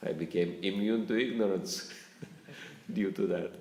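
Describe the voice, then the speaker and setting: A man's voice: low voice, slow, a little mellow. A man giving a spiritual talk to a live audience, asking them questions and chuckling often as he speaks. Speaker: spiritual teacher. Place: lecture room with audience. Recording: roomy echo.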